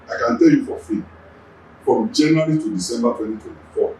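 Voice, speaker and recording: A Nigerian man whose first language is Yoruba speaks in a deliberate official tone at a middling pitch, repeating words as he finds his footing. His voice sits well back from the mic and rings around a very echoey room. A faint background hiss runs under it. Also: lively intonation, mellow, distant mic. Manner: deliberate, repeats words while gathering thoughts. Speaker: traffic agency general manager. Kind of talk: measured official interview answers.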